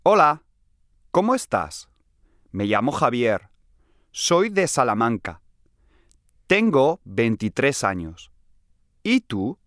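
A man playing a friendly young man in a scripted language-lesson dialogue, clearly enunciated. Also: mid-range voice, very animated, slow, long pauses, a bit bright, no room sound, clean studio sound. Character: friendly young man from Salamanca, aged twenty-three.

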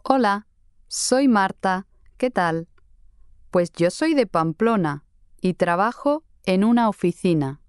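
A friendly young woman in a learner dialogue. Her voice is smooth and crisp, and she speaks slowly and carefully. The recording is dry and close. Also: medium pitch, expressive, no room sound. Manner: clear, careful friendly delivery. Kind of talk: slow scripted dialogue for learners.

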